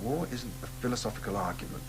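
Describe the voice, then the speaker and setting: An actor playing an earnest, intellectual journalist with a dry manner. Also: male voice, medium pitch, expressive, fast talker, creaky, noisy background. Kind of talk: radio drama acting.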